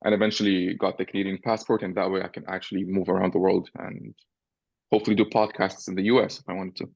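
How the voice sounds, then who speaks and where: A man's voice: deep voice, flat delivery, fast talker, vocal fry, a little mellow, no room sound, highs cut off. A young man from Syria, a podcast guest and entrepreneur, explaining things step by step in a casual interview and often checking in with "right?".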